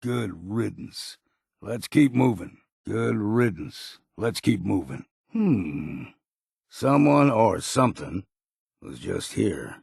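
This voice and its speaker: An actor playing a gruff, wary old islander sailor in a video game, with a gravelly, husky voice and salty, folksy, blunt talk. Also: male voice, fairly low pitch, lively intonation, slow pace, a little mellow, no room sound, clean studio sound, full character voice. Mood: bitter, blunt. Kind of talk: scripted video game voice lines.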